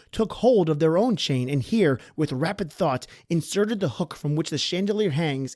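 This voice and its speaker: Higher-voiced man narrating horror, dry sound. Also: a little mellow, no room sound. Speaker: podcast host and narrator. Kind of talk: dramatic horror story narration.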